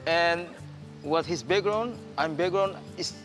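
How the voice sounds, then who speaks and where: A man from Papua in his forties with an Indonesian accent, a guest choreographer talking in broken, halting English with many restarts in a studio interview. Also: a little high, music in the background. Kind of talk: casual interview chat. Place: indoor TV studio set.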